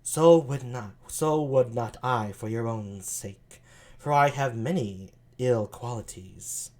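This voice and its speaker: A woman performs a coy, sultry flirt in a read-aloud stage comedy. The voice sits very low and moves slowly, drawing out each wry line. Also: darkish tone, full character voice. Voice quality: sultry, drawn-out delivery.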